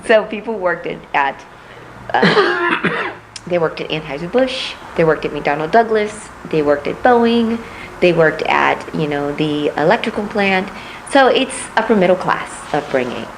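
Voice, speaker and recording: A middle-aged woman from Puerto Rico, raised in Missouri, answering interview questions as a political candidate, measured and reflective with frequent pauses. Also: fairly low pitch, sing-song, a little hiss or hum, rough recording.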